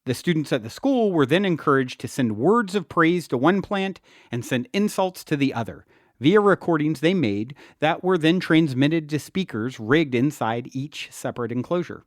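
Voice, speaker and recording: A man's voice: a little high, animated, moderate pace, no room sound, clean studio sound. A man reading his own nonfiction book aloud as an audiobook narrator, explaining ideas expressively with a wry touch.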